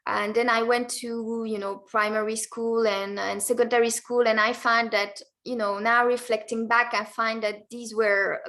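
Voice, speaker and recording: Woman from Belgium, interview guest, slightly high voice, halting, careful delivery. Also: flat delivery, a bit bright. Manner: hesitant, many restarts and pauses. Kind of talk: interview answers.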